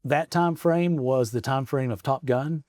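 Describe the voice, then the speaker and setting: A man's voice: medium pitch, a little slow, darkish tone, no echo. Man, forties, from arkansas, interview guest, rambling, restarts stories, close dry mic. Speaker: guest, state representative. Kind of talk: casual interview conversation. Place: office in the state capitol.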